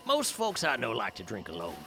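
A man playing a gruff, weary, hard-drinking character in an audio drama, with a gravelly voice and a sardonic, folksy way of talking. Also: medium pitch, huge pitch swings, brisk, bright, forceful tone, slight background noise, full character voice. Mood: wry.

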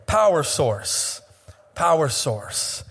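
High-voiced hoarse man preaching in a hall. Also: very animated, fairly bright tone. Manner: impassioned preaching with sung, drawn-out phrases and frequent restarts. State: slightly hoarse. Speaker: pastor. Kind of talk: sermon. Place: church sanctuary.

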